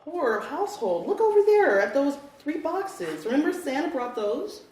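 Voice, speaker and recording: A woman's voice: sits high, big pitch swings, moderate pace, a little mellow. A woman acting a soothing but evasive mother of a small boy in a live comedy sketch. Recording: roomy live recording, distant mic.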